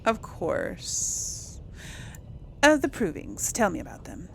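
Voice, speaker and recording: A woman's voice: medium pitch, wildly swooping pitch, slow pace, creaky, a little hiss or hum. A woman hosting a casual role-play gaming video, talking in an easygoing way to herself and her audience. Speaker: gaming host playing and commenting. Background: game music.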